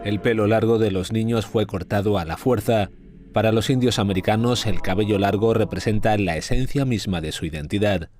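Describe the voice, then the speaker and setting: Low-voiced male news narrator over music. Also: brisk, a little mellow. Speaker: news reporter. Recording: voice-over with clear music behind.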